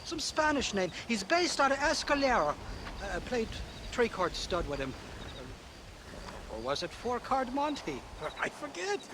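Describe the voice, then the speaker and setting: An actor playing a boastful, cheerful, drunken Irish drifter in a video game, rambling and wheedling with an Irish accent. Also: male voice, extremely high pitch, big pitch swings, measured pace, full character voice. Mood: rambling, tipsy. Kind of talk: video game cutscene acting. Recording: clear background noise.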